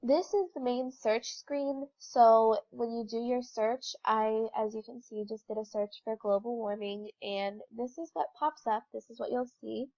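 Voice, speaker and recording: Young woman stuffed up from a cold doing a class presentation, kinda high voice, muffled lo-fi sound. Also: measured pace, few pauses, mellow. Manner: informal, explanatory, with fillers. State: congested from a cold. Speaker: student presenter. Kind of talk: recorded slideshow presentation.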